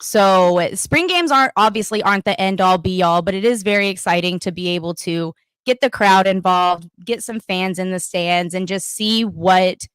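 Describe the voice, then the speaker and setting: A woman hosting a casual sports talk show, upbeat and excitable. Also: mid-range voice, clean tone, dry recording, rough recording. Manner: upbeat, hyped, quick to laugh. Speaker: podcast co-host.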